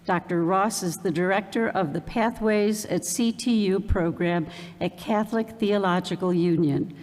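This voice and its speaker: A woman hosting an event, reading an introduction slowly and deliberately with ums. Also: medium pitch, a little mellow. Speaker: event host introducing the speaker. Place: parish hall or church. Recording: long reverb of a church hall.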